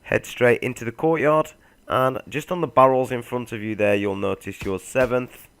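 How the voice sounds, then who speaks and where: A man's voice: medium pitch, fairly even intonation, normal speed, gravelly, fairly bright tone. A man hosting a gaming video, giving a laid-back walkthrough voice-over and speaking straight to his viewers. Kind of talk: casual game walkthrough voice-over.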